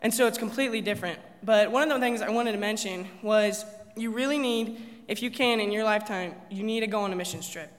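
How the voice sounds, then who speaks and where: A man's voice: high-pitched, fast talker, a bit bright. A man joking and rambling, with many restarts, as he tells his church about a mission trip. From born in Uganda, raised in America. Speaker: church member giving a mission trip report. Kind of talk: informal testimony to a congregation. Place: church sanctuary. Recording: long echoey hall reverb.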